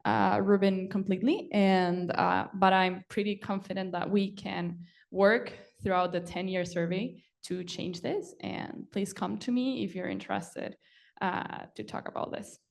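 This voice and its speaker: A young woman from Chile, a grad student giving a short flash talk at a conference in an earnest, halting way with fillers. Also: mid-range voice, steady tone, gravelly. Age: young adult.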